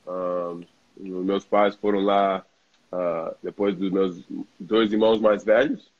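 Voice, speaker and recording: Low-voiced American man, dull lo-fi audio. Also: flat delivery, slow, darkish tone. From New York, United States. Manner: hesitant, slightly broken phrasing. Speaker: guest, basketball player. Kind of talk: casual chat.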